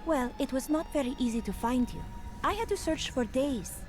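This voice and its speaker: A modest young fantasy game companion. Her voice is on the high side, earnest and polite, with music clearly behind it. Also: lively intonation, normal speed. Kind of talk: video game character dialogue.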